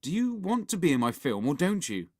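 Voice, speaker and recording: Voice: medium pitch, very animated, normal speed, voice pushed up, lightly acted. Narrator doing a horrid bossy little boy from a kids' storybook, sneery, swings all over, barks orders. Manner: bellowing, commanding, sneering. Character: bossy, scheming, horrid young boy director.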